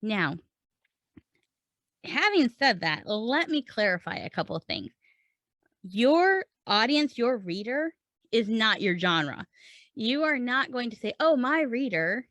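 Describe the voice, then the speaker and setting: Female podcast host-coach, slightly high voice, dry sound, conversational instruction with emphatic direct address. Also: sing-song, measured pace, pauses often, fairly bright tone, dry recording.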